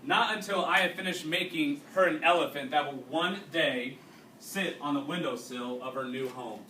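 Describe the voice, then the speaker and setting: Bright, higher-pitched man teaching in a roomy space. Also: normal speed. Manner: instructive, checking understanding with questions. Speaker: teacher reading a storybook to a class. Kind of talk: read-aloud with explanatory asides and questions to pupils. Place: indoors, classroom. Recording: roomy, distant mic, faint background noise.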